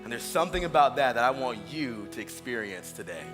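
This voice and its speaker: A pastor in his thirties sharing his story in an Easter sermon, earnest and personal, slowing down on key phrases. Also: mid-range voice, sing-song. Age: 30s. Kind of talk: Easter sermon, shared testimony. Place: large church auditorium. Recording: reverberant hall with music underneath.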